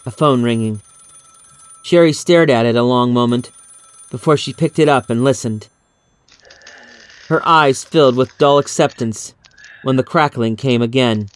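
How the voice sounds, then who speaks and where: A man's voice: mid-range voice, unhurried, long pauses, clean tone, no room sound. A man reading a horror story as an audiobook narrator, in a dramatic scripted style.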